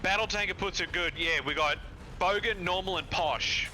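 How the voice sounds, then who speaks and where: A man's voice: high voice, moderate pace, a crowd in the background, low-quality recording. A man playing a co-op speedrun, calling out moves in a laid-back, casual way. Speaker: co-op speedrun partner. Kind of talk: casual gaming chat.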